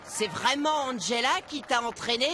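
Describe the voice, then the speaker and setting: An actor voicing a taunting, boastful, bratty boy tennis champion in an animated children's comedy. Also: medium pitch, moderate pace, slight background noise. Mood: mocking. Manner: mocking, boastful.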